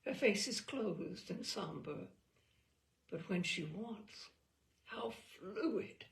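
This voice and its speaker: A woman reading a literary short story in the first person, measured and expressive, dwelling on phrases. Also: mid-range voice, gravelly.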